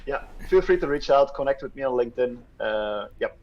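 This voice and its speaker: A man, a workshop speaker and startup founder, answers audience questions in a hesitant way with many ums and restarts, trailing off quietly at the ends. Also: medium pitch, lively intonation, fairly quick. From Belgium. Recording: slightly muffled lo-fi audio.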